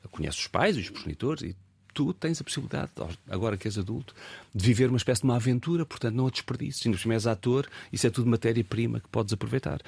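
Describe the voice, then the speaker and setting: A Portuguese man in his fifties with a European Portuguese accent, an actor talking reflectively in an interview, pausing and restarting as he thinks. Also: on the low side, gravelly, a little mellow, slightly rough, no echo. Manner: reflective, hesitant with many fillers and restarts. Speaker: actor and guest. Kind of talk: casual interview conversation.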